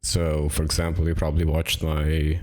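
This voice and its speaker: A young man explaining a game tactic in a relaxed, rambling way, sounding raspy and low on energy from a cold. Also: normal speed. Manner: relaxed and rambling, with many fillers. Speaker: gaming video creator. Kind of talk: casual tutorial narration. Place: home apartment.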